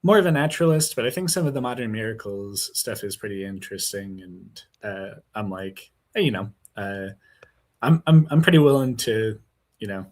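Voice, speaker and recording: A man calling into a live stream, talking hesitantly with many pauses and fillers. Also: on the low side, lively intonation. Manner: hesitant, lots of fillers, tentative. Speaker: caller. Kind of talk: casual discussion.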